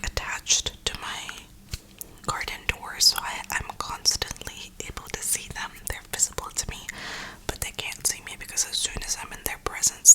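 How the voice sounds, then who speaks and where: A young woman rambling casually in a soft, breathy ASMR whisper, with informal, slangy phrasing. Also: low-quality recording. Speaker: ASMR gaming streamer.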